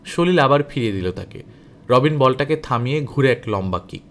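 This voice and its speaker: A man reading a novel aloud as an audiobook narrator, in an expressive, dramatic storytelling style. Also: mid-range voice, brisk.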